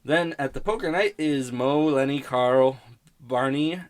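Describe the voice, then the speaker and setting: A man hosting a TV criticism podcast in a casual, rambling, halting and sardonic way, prone to swearing. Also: medium pitch.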